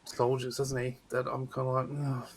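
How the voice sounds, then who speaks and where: A man's voice: mid-range voice, monotone, moderate pace, a crowd in the background. A man hosting a casual film podcast, chatting informally. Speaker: podcast host.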